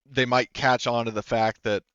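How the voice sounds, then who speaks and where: A man acting as game master, narrating and explaining a tabletop game in a measured, conversational way. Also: mid-range voice, level tone, fairly bright tone, clean tone, no room sound, muffled recording.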